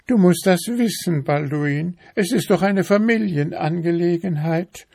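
Storybook nag: man doing a high, insistent female beetle wife. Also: measured pace, vocal fry, warm tone, no echo, studio quality, full character voice. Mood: nagging. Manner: insistent, high-pitched questioning.

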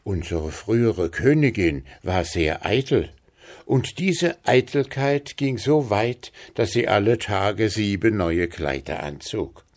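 A man's voice: on the low side, sing-song, slow. A man voicing a jailer who explains a court story in a plain telling voice. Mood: explaining.

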